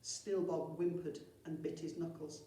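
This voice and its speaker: A woman's voice: low voice, little pitch movement, measured pace, dark tone. A woman reading a children's story expressively, as a storyteller at a primary school. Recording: very echoey room, far from mic.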